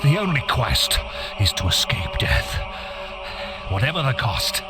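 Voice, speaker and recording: A weary old wizard from a fantasy game. His delivery swings up and down dramatically, emphatic and bitter. Loud music plays behind the voice. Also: medium pitch, very animated, unhurried. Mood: defeated, regretful. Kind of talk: scripted fantasy game acting.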